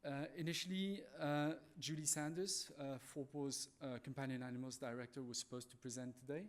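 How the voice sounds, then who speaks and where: Halting man with a French accent. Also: medium pitch, steady tone. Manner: halting, polite and apologetic. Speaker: conference speaker, animal welfare manager. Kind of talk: conference presentation.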